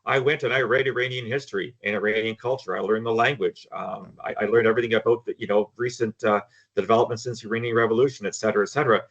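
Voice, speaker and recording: This older man talks in a low register, frank and emphatic, often doubling his words as he starts a point. His audio comes through dull and lo-fi. He speaks as a guest in a relaxed online panel. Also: very fast. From Canada. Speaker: guest, former intelligence analyst. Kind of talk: casual panel discussion.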